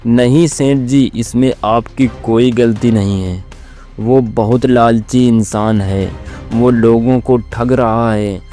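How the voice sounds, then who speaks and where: A man's voice: mid-range voice, fairly even intonation, takes it slowly, warm tone, faint music behind, lightly acted. A man playing a wronged, humble servant in a cartoon moral story, speaking in a deferential, plaintive way. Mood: aggrieved.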